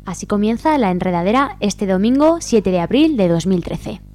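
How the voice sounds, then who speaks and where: A woman's voice: mid-range voice, animated, moderate pace, a little mellow. A woman with an accent from Spain, co-hosting a community radio show in a studio. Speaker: radio co-host. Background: music.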